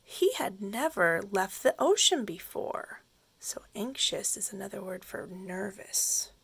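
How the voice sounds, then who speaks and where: A woman teacher reading a children's story aloud expressively, pausing to explain things simply for young listeners. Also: medium pitch, animated, fairly bright tone, slightly rough, no echo.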